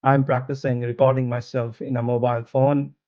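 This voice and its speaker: A man whose first language is not English, answering interview questions about his product in a slow, thoughtful way with many pauses and restarts. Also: medium pitch, little pitch movement, dull audio. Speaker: startup founder, interview guest. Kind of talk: podcast interview.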